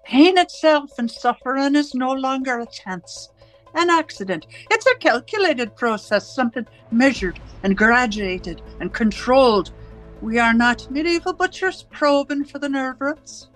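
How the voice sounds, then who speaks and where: Woman acting cold, high-voiced, commanding interrogator. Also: expressive, faint music behind, rough recording. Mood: cold, measured menace. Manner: deliberate, rhetorical, commanding, probing with questions. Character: cold, domineering party interrogator and torturer, righteous and controlling. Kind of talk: theatrical radio drama acting.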